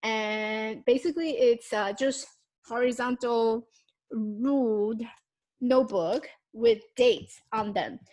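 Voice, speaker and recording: A woman in her thirties with a slightly high voice, speaking English as a second language with Mandarin as her first. She talks casually and chattily to her viewers, restarting sentences and filling pauses with "um" while she holds up her notebooks. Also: a little mellow. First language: Mandarin Chinese. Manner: chatty, with frequent restarts and ums; shows notebooks to the camera while talking. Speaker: YouTube host. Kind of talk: casual vlog talk.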